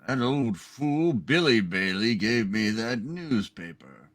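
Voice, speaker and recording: A man's voice: fairly low pitch, big pitch swings, takes it slowly, a bit bright, full character voice. A man playing a scheming, imperious rich villain with a haughty, menacing delivery in a hammed-up radio play. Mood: gloating.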